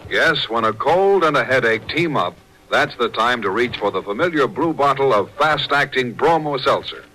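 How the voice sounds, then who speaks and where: A man's voice: medium pitch, lively intonation, normal speed, bright, forceful tone, rough recording. A man announcing a commercial in 1940s radio style, with polished, emphatic salesmanship.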